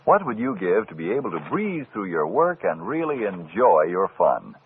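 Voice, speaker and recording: A man announcing in dramatic, deliberate 1940s radio style. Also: deep voice, huge pitch swings, slight background noise. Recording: tinny vintage radio broadcast.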